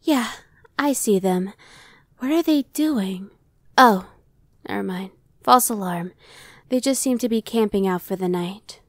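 A woman's voice: mid-range voice, a little slow, pauses often, no echo, natural voice. Woman voicing a casual demon scout, dry close sound. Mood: calm, watchful. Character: young female demon scout Evanescence, casual, dry-witted, inwardly brooding. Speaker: voice actor. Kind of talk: ASMR roleplay audio drama.

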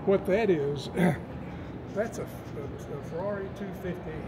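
An older man giving a guided museum tour, telling folksy stories with halting restarts, recorded in a large indoor hall. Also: high-pitched, very noisy. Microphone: camera held by a visitor nearby.

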